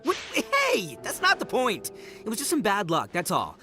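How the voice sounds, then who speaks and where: A loud-mouthed, boastful young demon gang boss from a video game. His voice is bright and forceful and leaps wildly up and down, theatrical and full of slangy swagger, with faint music behind. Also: high voice, wildly swooping pitch, normal speed, exaggerated voice. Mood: defensive. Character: brash, boastful young male oni gang boss, goofy. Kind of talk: English video game voice acting.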